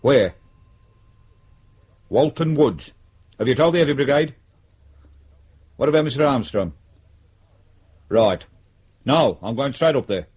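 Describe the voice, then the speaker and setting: An actor playing a sardonic, ambitious British detective sergeant in a radio crime drama, with a dry, blunt manner. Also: male voice, mid-range voice, animated, a little slow, long pauses, darkish tone, breathy edge. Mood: dry, wry.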